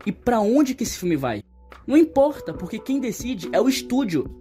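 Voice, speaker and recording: A man's voice: extremely high pitch, sing-song, normal speed, dark tone, faint music behind. A man with a Brazilian accent delivering scripted opinion commentary as a video essayist, earnest and emphatic, often posing rhetorical questions.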